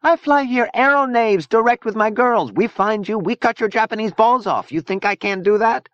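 A man's voice: on the high side, animated, normal speed, no room sound, highs cut off, voice pushed up, lightly acted. A man voicing a fierce, hot-tempered young woman gang leader in an audiobook. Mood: furious. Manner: combative, profane, imperious. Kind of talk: audiobook character dialogue.

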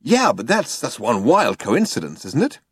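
A man voicing a vain, insecure, cocky spaceman in an audiobook, excitable and acting rather slow on the uptake. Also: mid-range voice, breathy edge, no echo, clean studio sound. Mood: excited. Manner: cocky, excitable, drawn-out bursts. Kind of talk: audiobook character voice.

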